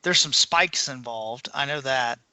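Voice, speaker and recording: A man's voice: mid-range voice, a little slow, bright, forceful tone, slight background noise. A man co-hosting a podcast, talking through books in a casual conversational way. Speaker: podcast co-host. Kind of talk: casual book discussion chat.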